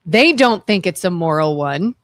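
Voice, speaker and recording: A woman's voice: lowish voice, animated, moderate pace, dry recording, clean studio sound. Woman cohost, firm and insistent, voice jumps up and down a lot. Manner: emphatic and insistent. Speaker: radio talk show co-host. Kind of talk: casual opinion talk. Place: studio.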